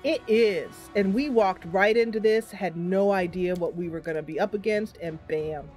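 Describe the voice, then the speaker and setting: A woman's voice: mid-range voice, normal speed, darkish tone, faint music behind. A woman streaming a game, casually commentating live and thinking aloud as she chats with her viewers.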